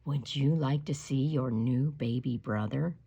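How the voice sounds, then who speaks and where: A woman voicing a placid, patient mother in a storybook, coaxing softly with drawn-out words. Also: extremely low pitch, gravelly, slightly rough, pitched below the performer's own voice, exaggerated voice. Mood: soothing, coaxing. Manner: drawn-out, soft, patient delivery. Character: placid, coaxing mother soothing her jealous young daughter.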